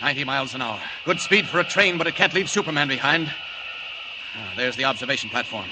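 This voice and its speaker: An actor playing a resolute superhero who thinks aloud in clipped phrases, in a 1940s radio serial. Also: male voice, mid-range voice, quick pace, natural voice. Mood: alert, resolute. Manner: clipped, determined self-narration. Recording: very noisy old radio recording, effects.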